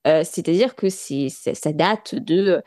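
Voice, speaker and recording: A woman from France, speaking as a radio interview guest in an earnest, halting way with many restarts and fillers. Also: on the low side, a little mellow, no room sound, clean studio sound. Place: radio studio.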